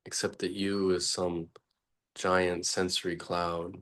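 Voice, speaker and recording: Very slow, low-pitched man guiding meditation. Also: little pitch movement, fairly bright tone. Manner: slow, halting, long pauses between short phrases. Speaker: meditation teacher. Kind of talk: guided meditation, unscripted.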